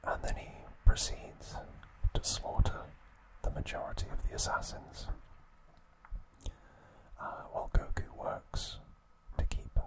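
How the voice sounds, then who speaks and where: A man whispering an ASMR recap narration in short, hushed, unhurried phrases. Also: low-quality recording.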